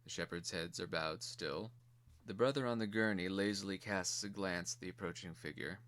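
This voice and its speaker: Deep-voiced man narrating a drama. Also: a bit bright. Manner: measured, theatrical. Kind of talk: dramatic screenplay reading.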